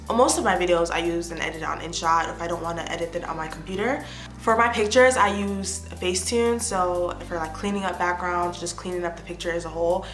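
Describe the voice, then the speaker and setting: A young American woman in her twenties chatting casually to the camera as an influencer, answering fan questions with animated bursts of excitement. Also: medium pitch, echoey room. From United States. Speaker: lifestyle influencer. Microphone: phone or tablet camera.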